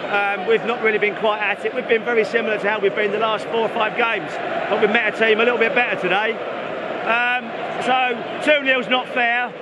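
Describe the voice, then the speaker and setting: A man giving casual football analysis as a fan, measured and philosophical, often correcting himself. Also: high-pitched, fairly even intonation, muffled recording. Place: outdoors in a football stadium. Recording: very noisy outdoor stadium crowd recording. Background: loud crowd and outdoor noise.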